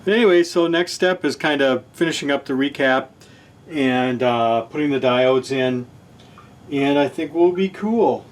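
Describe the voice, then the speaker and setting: A man's voice: medium pitch, a little hiss or hum. A man giving casual, unscripted narration of hands-on repair work, thinking aloud in laid-back phrasing, often trailing off and correcting himself. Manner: halting, trailing off and self-correcting mid-thought. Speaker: host of a repair channel, hobbyist radio restorer.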